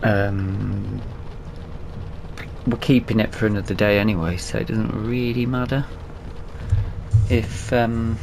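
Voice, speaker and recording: A British man with a lowish, creaky voice talks casually through a video game. He muses to himself as if nobody needs to answer. Vehicle noise runs clearly behind his commentary. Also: fairly even intonation, takes it slowly, long pauses. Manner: musing aloud to himself. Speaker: gaming YouTuber. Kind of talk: casual let's play commentary.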